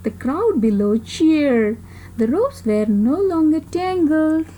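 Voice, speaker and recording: A woman reading a children's story aloud in an expressive way, with dramatic pauses and emphasis. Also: sits high, slight background noise, low-quality recording.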